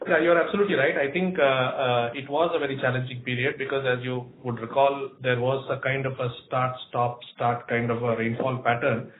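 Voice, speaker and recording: A roomy, phone-like recording with faint noise carries a man's voice. He is a company executive giving explanatory answers on an earnings call. He speaks off the cuff in a measured, halting manner. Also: medium pitch, fairly even intonation. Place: room on a conference call.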